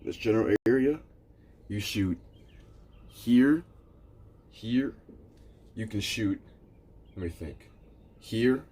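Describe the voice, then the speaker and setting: A man's voice: on the low side, animated, takes it slowly, long pauses, warm tone, husky. A man live streaming, chatting casually with viewers in a rambling way, repeating himself and calling them "bro".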